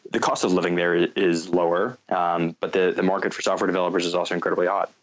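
An American man answering interview questions and explaining a business, often pausing and repeating words as he picks his phrasing. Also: sits low, flat delivery, highs cut off. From North Carolina, United States. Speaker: guest, fintech company founder.

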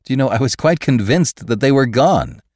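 A man playing a frightened, stubborn, highly strung husband in a story, agitated and insistent. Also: lively intonation, moderate pace, a little mellow, no echo, clean studio sound, close to an everyday voice. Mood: shaken, apologetic.

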